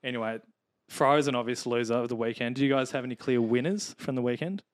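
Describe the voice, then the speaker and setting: A man's voice: mid-range voice, fast talker, dry recording, clean studio sound. A man hosting a casual sports chat podcast, joking and steering the talk with questions. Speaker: podcast host.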